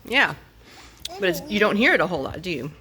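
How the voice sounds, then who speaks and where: Woman, lowish lively voice, storybook read-aloud with parental asides, clearly noisy home recording. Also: fast talker. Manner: expressive, chatting with young children. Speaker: parent reading a storybook to children.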